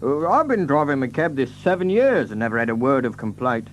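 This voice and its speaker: Actor as a working-class London cabbie, noisy hum. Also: male voice, medium pitch, very animated, brisk, lightly acted. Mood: defensive. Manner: hesitant, colloquial, ungrammatical. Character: plain-spoken working-class London cab driver, wary then chummy. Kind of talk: audio drama acting.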